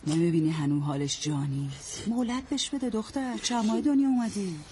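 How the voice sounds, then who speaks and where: A woman's voice: mid-range voice, warm tone, lightly acted. A woman playing a blunt older neighbor. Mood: firm. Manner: folksy, proverb-quoting, bossy. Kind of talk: radio drama acting. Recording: noisy radio-play recording.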